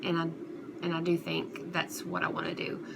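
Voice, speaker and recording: A woman talking casually and unscripted to her viewers as an online commentary host, in a halting, rambling, conversational way. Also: on the low side, completely flat delivery. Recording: clear background noise throughout.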